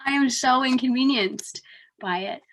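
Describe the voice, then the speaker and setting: A woman's voice: high-pitched, normal speed, a bit bright. A young woman from New Jersey, sounding congested, telling a story in a casual podcast chat with lots of "like". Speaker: podcast co-host.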